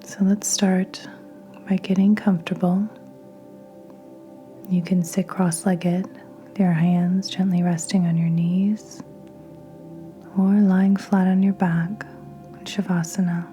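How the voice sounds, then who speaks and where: A woman guiding a meditation in a soft, breathy near-whisper, gentle and soothing, with long unhurried pauses. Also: fairly low pitch, flat delivery. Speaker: podcast host and meditation guide. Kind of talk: guided meditation narration. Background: soft meditation music with singing bowls.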